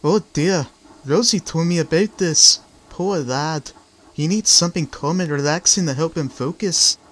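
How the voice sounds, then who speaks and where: A man's voice: fairly high pitch, a little slow, fairly bright tone. A man voicing a kindly, concerned male crane engine in a fan-dubbed cartoon. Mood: sympathetic. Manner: caring, advising. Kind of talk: fan-dub cartoon character acting. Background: background music.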